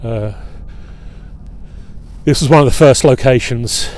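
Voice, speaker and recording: A middle-aged man leading an outdoor history tour at a waterfront fort. He talks in a relaxed, halting way with many filler pauses. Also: mid-range voice. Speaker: historian and battlefield guide.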